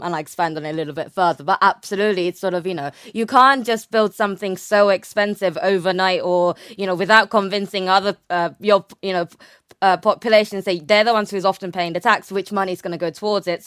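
A woman's voice: mid-range voice, a little fast, fairly bright tone, smooth, no room sound, clean studio sound. A young woman speaking as an academic guest, explaining her research conversationally and often rephrasing with "sort of".

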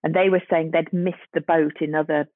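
A woman's voice: deep voice, little pitch movement, brisk, dry recording. A woman in her forties, a guest on a chat show, losing her voice, talking chattily and repeating words as she thinks. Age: 40s. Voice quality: husky, gravelly. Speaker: guest, fashion journalist. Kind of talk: casual interview chat. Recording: tinny phone line.

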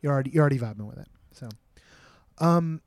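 A man's voice: medium pitch, quick pace, vocal fry, darkish tone, dry recording. A man hosting a casual interview, upbeat and prompting his guests with questions. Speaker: podcast host. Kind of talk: casual chat. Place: dark outdoor hollow at a theater venue. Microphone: shared handheld mic.

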